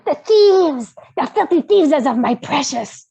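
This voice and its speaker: A woman's voice: sits high, animated, gravelly, warm tone, muffled recording, full character voice. A woman voicing a small, wretched, cunning creature with a whiny, hissing voice and broken grammar, at a fantasy script table read. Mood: furious. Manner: slow, fawning, broken grammar.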